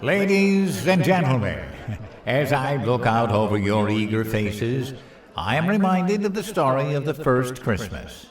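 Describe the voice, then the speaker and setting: An actor playing a clueless, self-assured president in a comedic audio drama, hesitant and earnestly rambling. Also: male voice, mid-range voice, lively intonation, measured pace, far from the mic, over music, natural voice. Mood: pompous speechmaking. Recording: roomy echo, off the mic.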